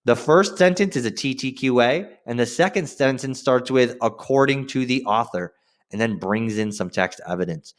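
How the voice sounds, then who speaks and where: A man's voice: mid-range voice, normal speed. A man teaching students in an informal, directive instructional style, stressing key phrases and checking in as he guides them through the lesson. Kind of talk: instructional screen-share lesson addressed to students.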